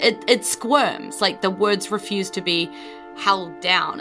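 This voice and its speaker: A woman with a New Zealand accent improvising descriptive narration as game master of a tabletop game, in a conversational way. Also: deep voice. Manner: conversational, descriptive, frequent "you know" asides.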